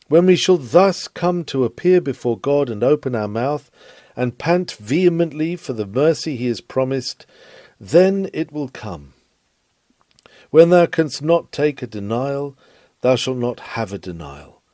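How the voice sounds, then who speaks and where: A man's voice: mid-range voice, warm tone, dry recording, clean studio sound. A man reading an old sermon aloud in an earnest preaching delivery, with dramatic swells of emphasis. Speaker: reader of a historical sermon.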